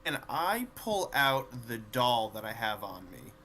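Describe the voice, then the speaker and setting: Bright-voiced man playing a tabletop game. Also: medium pitch, sing-song, unhurried, a little hiss or hum. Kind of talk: casual in-game description.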